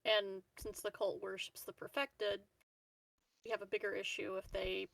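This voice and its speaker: A woman's voice: mid-range voice, little pitch movement, moderate pace, vocal fry, a bit bright. A woman playing a tabletop game, chatting casually as she joins in. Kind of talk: casual chat. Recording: distant from the mic, no echo.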